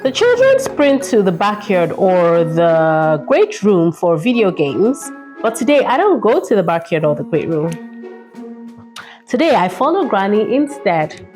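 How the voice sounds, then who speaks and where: A woman's voice: medium pitch, very animated, measured pace, a little mellow, soft background music. A woman hosting a children's read-aloud, playful and chatty, speaking straight to her young listeners. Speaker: children's storytime host and reader.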